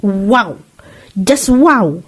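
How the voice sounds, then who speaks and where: A woman playing a friendly young chili oil seller in a comedy audio drama, with an exclamatory, expressive way of talking, in Ilocano. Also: mid-range voice, extremely slow, husky, exaggerated voice. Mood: amazed, excited.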